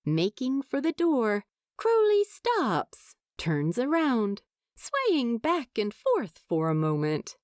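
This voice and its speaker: A woman narrating scripted fan fiction as an expressive storyteller. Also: medium pitch, dry recording, studio quality.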